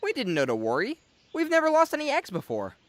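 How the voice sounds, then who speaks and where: A man's voice: very high-pitched, huge pitch swings, quick pace, no room sound, full character voice. A man playing a carefree young male dragon in a fantasy dub, a friendly, enthusiastic big brother. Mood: breezy, reassuring. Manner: breezy, enthusiastic. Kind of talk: dubbed fantasy drama acting.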